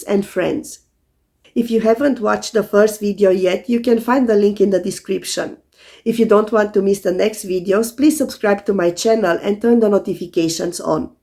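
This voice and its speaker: A woman astrologer narrates her video in a hoarse voice left rough by a sore throat. Her tone sits in an ordinary middle pitch with a lot of gravelly fry, and she lays out each point in a calm, methodical way. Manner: calm, methodical explaining to viewers. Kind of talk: scripted explanatory video narration.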